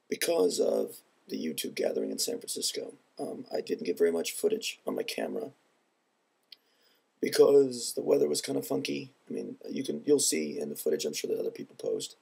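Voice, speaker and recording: A man speaking in an earnest, measured and reflective way in a personal vlog, his voice congested from a head cold. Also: lowish voice, vocal fry, a little mellow, breathy edge. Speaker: video blogger.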